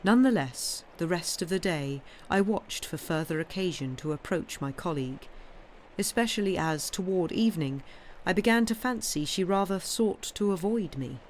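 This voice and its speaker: A woman's voice: on the low side, lively intonation, moderate pace, darkish tone. Woman reading a classic novel, noisy recording. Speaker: reader, streamer. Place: live stream. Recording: clearly noisy background.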